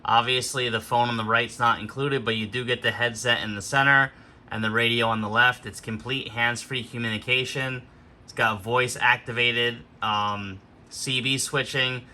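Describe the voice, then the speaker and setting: A man presents an informal, unscripted gear demonstration. His voice sits at a middling pitch but is strikingly bright and hard-edged. He explains each step plainly, in the manner of a hands-on instructor. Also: steady tone, moderate pace. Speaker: host of a gear demonstration video. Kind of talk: unscripted product walkthrough.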